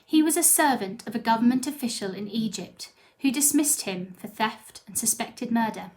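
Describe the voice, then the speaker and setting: Woman reading out a scripted bio, voice a bit on the high side. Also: lively intonation, normal speed, darkish tone. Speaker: narrator. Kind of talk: scripted biographical narration.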